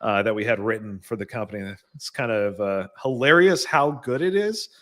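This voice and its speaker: A man's voice: on the low side, normal speed, a bit bright. An American man hosting a casual live business show, chatting and introducing a guest. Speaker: show host.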